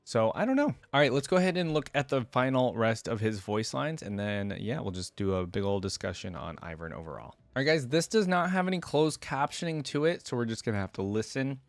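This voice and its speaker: A man hosts a reaction video in a medium-pitched voice. He chats casually and rambles as he thinks aloud, recorded close and dry with no room sound. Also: lively intonation, brisk, hardly any pauses, no room sound.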